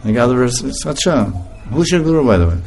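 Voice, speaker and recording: A man's voice: mid-range voice, fast talker, a little hiss or hum. A man giving an informal spiritual talk to a group, laughing often as he tells stories. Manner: laughing often, animated storytelling.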